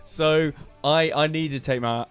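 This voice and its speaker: Booming-voiced man, rambling. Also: fairly even intonation, unhurried, smooth, soft background music. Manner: rambling storyteller, many fillers and restarts. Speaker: band member co-hosting a podcast. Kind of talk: casual chat.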